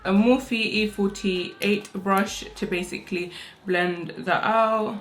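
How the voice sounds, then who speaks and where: A young woman talks quickly and casually as she does her makeup at home. Her voice still sounds sleepy. Faint music plays in the background. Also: medium pitch, steady tone, a bit bright, low-quality recording. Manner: fast, chatty, rushed talk with fillers. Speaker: makeup vlogger. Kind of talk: casual get-ready-with-me makeup tutorial. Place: home, indoors. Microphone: own microphone.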